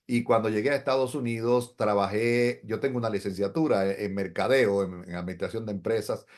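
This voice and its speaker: Low-voiced pastor, earnest. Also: male voice, fairly even intonation, a little mellow. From Dominican Republic. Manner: earnest, reflective, slow storytelling. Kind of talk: interview testimony.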